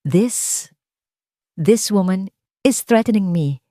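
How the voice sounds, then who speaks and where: A woman's voice: mid-range voice, lively intonation, slow, warm tone, dry recording, studio quality. Woman voicing a venomous, manipulative rich mother. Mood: feigned victimhood. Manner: syrupy coaxing, hissed threats, playing victim.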